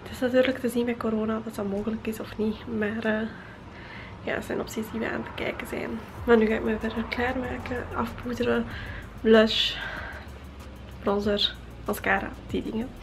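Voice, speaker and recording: A woman's voice: on the high side, level tone, moderate pace, music in the background. A woman in her twenties with a Flemish accent, chatting casually to a handheld camera as a travel vlogger in a small hotel room. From Belgium. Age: 20s. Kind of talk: casual vlog chat to camera. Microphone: handheld camera at arm's length.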